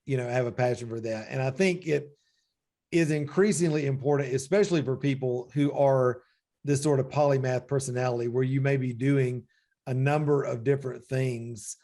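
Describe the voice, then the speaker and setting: Forties guy hosting a faith chat, thinks out loud, lots of "you know", mid-pitched voice. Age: 40s. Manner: reflective, heavy use of "you know". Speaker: podcast host. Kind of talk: faith-based conversational interview.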